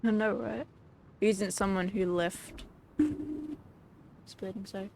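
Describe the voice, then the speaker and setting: A woman's voice: mid-range voice, steady tone, takes it slowly, creaky, a little hiss or hum. A woman giving casual, offhand live commentary as she streams a video game. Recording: loud game music behind. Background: game music.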